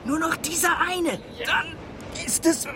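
An actress playing a brisk, callous woman passenger in a radio play, theatrical and swinging between commands and cheer. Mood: urgent, commanding. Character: brisk, callous woman passenger ridding a night train of the dead. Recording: loud train rumble behind the voice. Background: moving train noise.

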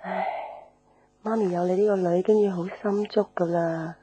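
An actress playing a gentle, resigned, ailing middle-aged mother in a radio drama, weary, with a Hong Kong Cantonese accent. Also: lowish voice, fairly even intonation, normal speed, background noise, close to an everyday voice. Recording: faint music bed.

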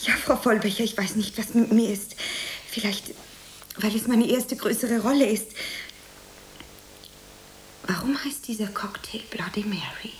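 A woman playing a nervous, earnest young actress starting out in a radio drama, speaking in a hesitant, breathy way. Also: medium pitch, unhurried, pauses often, slight background noise, lightly acted, poor audio. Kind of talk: German radio play acting.

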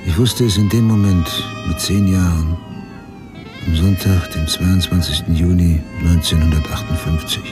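An actor playing a middle-aged American male crime writer, narrating his dark memories in a deliberate, unsentimental radio drama style. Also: deep voice, fairly even intonation, natural voice. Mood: sober, resolved. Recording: music behind the voice.

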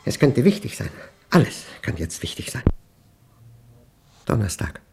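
An actor playing a paranoid, tormented man reading his obsessive diary in a German radio play, husky and intense, in clipped, brooding fragments. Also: medium pitch, sing-song, measured pace, background noise. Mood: weary, anxious.

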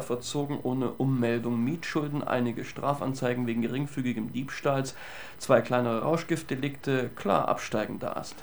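An actor playing a flippant, slangy police investigator in a radio crime drama, casual and matter-of-fact. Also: male voice, medium pitch, a little hiss or hum, close to an everyday voice. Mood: breezy, matter-of-fact.

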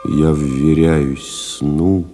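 An actor playing a weary, stoic pilot stranded in the desert, narrating gravely and deliberately in an audio play, with music behind him. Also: male voice, very deep voice, steady tone, exaggerated voice. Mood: drowsy, dreamy. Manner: grave, deliberate, brooding, with pauses. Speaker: actor narrating in first person.

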